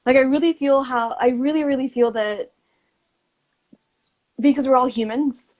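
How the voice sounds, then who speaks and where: A woman's voice: high voice, normal speed, darkish tone. A woman hosting a podcast, talking casually and reflectively in a supportive, informal way peppered with "like". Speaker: podcast host.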